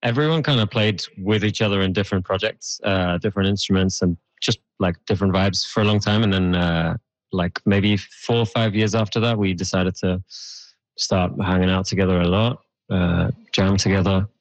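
Swiss band guy, really low voice, laid back, kinda stumbly. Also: moderate pace, no room sound, rough recording. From Switzerland. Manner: relaxed, hesitant with fillers. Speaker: band member, guest. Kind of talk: casual interview answers.

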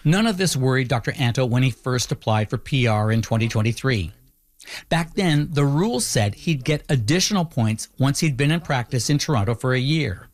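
A man narrating a documentary from a script in a measured, explanatory way. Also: medium pitch, lively intonation. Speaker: narrator, host.

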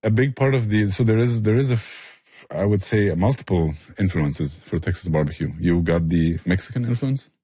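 A man's voice: low-pitched, moderate pace, few pauses, dark tone, husky, no room sound. A man explaining things with passion in a conversational interview. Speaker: barbecue restaurant owner and chef, interview guest.

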